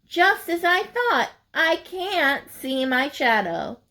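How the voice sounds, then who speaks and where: A woman's voice: extremely high pitch, big pitch swings, slow, pushed and bright, pitched above the performer's own voice, exaggerated voice. A woman voicing an indignant male groundhog in a children's storybook, exclaiming emphatically. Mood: excited. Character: indignant male groundhog, kidnapped, shouting and protesting. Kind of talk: children's storybook read-aloud.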